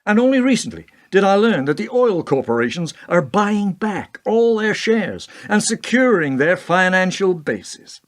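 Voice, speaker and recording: A male actor plays a principled president in a radio drama, challenging his adviser with open indignation. His voice sits fairly high for a man and moves widely in pitch, with emphatic, probing delivery. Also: sing-song, unhurried, few pauses. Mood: grave, accusing. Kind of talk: radio play acting.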